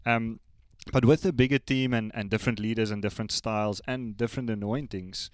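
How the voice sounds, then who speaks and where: A man co-hosting a church conversation, asking questions in a halting way and restarting his phrases. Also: on the low side, steady tone, dry recording. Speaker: church podcast co-host. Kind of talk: casual church podcast chat.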